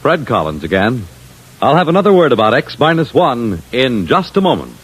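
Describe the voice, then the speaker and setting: A man's voice: mid-range voice, big pitch swings, moderate pace, over loud music, poor audio. A man announcing in scripted 1950s network radio style. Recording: vintage radio broadcast.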